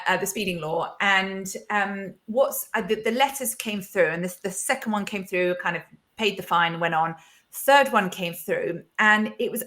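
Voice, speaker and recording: A woman's voice: on the low side, a bit bright. A woman in her forties telling a personal story in a candid podcast chat, open and full of small restarts. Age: 40s. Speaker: podcast host.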